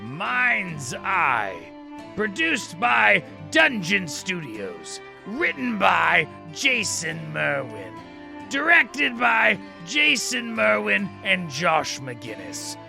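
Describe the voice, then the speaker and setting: Very creaky male credits announcer. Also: high voice, huge pitch swings, drawn-out words, over music. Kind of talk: scripted credits read.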